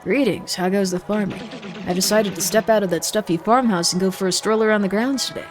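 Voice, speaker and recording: A woman's voice: medium pitch, brisk, close to an everyday voice. A woman voicing a chatty, hungry farmhouse character from a horror farming game, with polite, quaintly formal talk. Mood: cheerful, polite. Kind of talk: game dialogue read aloud in character. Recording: game music behind.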